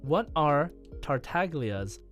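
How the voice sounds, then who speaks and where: A man's voice: fairly high pitch, very animated, slow, a bit bright, faint music behind. A man co-hosting a podcast, reading out quiz questions and chatting casually between them. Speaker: podcast co-host running a quiz. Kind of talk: casual quiz-show banter with read-out questions.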